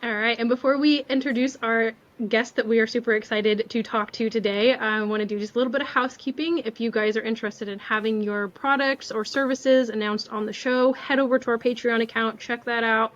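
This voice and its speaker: A woman's voice: fairly high pitch, fairly even intonation, fairly quick, hardly any pauses. A bubbly woman hosting a podcast in casual chat, laughing a lot. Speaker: podcast host. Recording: dull lo-fi sound.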